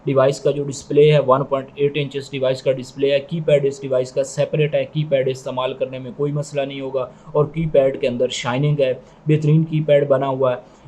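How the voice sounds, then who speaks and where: A man's voice: mid-range voice, dark tone. A man giving product review commentary, explaining methodically and repetitively, step by step.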